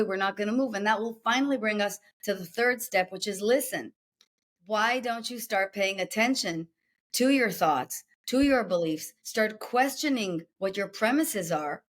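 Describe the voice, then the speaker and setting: A woman with an Israeli accent, a coach being interviewed, explaining her method with rhetorical questions and listed steps. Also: on the high side. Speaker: guest executive coach.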